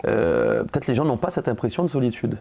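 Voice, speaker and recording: Gravelly-voiced male talk-show host. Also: medium pitch, wildly swooping pitch, brisk. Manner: warm conversational, frequent fillers and restarts. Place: studio set.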